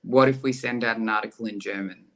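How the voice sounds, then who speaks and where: A man's voice: fairly low pitch, steady tone, fast talker, a bit bright. A man talking as a guest in casual conversation, thinking aloud with frequent ums.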